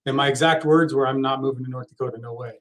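A middle-aged man from Texas, a college soccer coach, telling his story as an interview guest in a relaxed way that trails off quietly. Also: medium pitch, fast.

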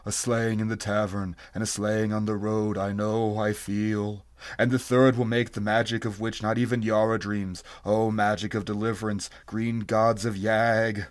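A man's voice: medium pitch, steady tone, moderate pace, close to an everyday voice. A man voicing an ancient blind, tortured alien creature from a fantasy story, sorrowful and solemn, in grave archaic speech. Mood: chanting.

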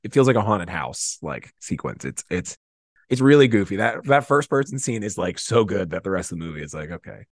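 Animated, excitable man chatting. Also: sits low, brisk, dry recording, clean studio sound. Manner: excitable, effusive. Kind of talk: casual chat.

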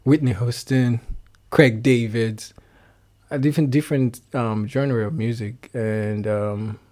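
A man's voice: medium pitch, steady tone, a little mellow. Young Nigerian-accented man, casual guest, frequent laughs and self-corrections. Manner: laughs often, halting restarts. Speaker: guest musician. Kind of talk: casual interview chat.

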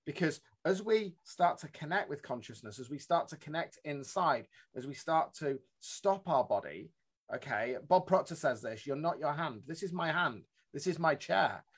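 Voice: mid-range voice, expressive, moderate pace, breathy edge. An interview host who jumps in eagerly to add asides and quotes, apologizing for interrupting. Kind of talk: conversational interview.

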